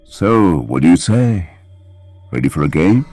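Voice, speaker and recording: A menacing undead father from an audio drama, mockingly tender and theatrical. The voice sits extremely low, dark and husky, and it swoops slowly through each taunt. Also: sing-song, soft background music, full character voice.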